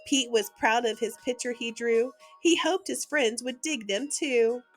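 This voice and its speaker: High-voiced lady reading bedtime stories to kids, slow and gentle, faint music behind. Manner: gentle, warm and expressive, like reading to small children. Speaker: host reading children's books. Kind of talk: bedtime read-aloud storytelling.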